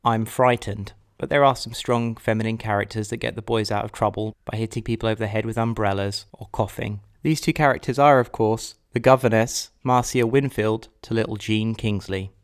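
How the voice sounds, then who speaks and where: Clear-voiced man narrating a podcast. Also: lowish voice, steady tone, fairly quick, few pauses. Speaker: podcast host. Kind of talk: scripted personal narration.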